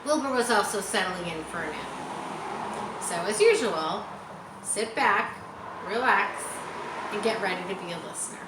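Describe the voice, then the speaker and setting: A woman reading a children's story aloud in a teacherly way, pausing to explain and chat to her listeners. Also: medium pitch, lively intonation. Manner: teacherly, explains words and adds chatty asides. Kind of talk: children's audiobook reading with asides. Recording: roomy echo with faint background noise.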